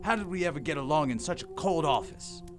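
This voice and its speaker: Man playing an excitable, high-pitched old gentleman. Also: fast, natural voice. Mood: generous. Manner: exclamatory, effusive, repeats himself. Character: giddy, reformed old miser, warm and repentant. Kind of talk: theatrical audio drama acting. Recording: music playing behind the voice.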